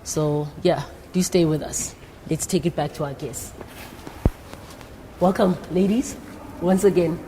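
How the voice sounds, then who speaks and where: A woman's voice: lowish voice, measured pace, pauses often. A South African woman hosting an interview, encouraging and speaking directly to her audience. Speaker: interview host. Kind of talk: live career talk show interview before young guests. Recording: echoing hall with faint background noise.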